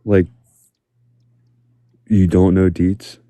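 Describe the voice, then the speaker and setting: A man's voice: low voice, level tone, extremely slow, dark tone, no room sound, clean studio sound. A man being interviewed on the street, rambling and teasing with a prank. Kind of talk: casual chat.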